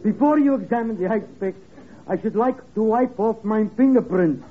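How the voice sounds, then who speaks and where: An actor playing a sinister, droll butler with a nasal voice and deadpan manner in a 1950s radio comedy. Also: male voice, high voice, moderate pace, natural voice. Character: sinister, droll male butler in a murder spoof. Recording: tinny, very hissy old radio recording.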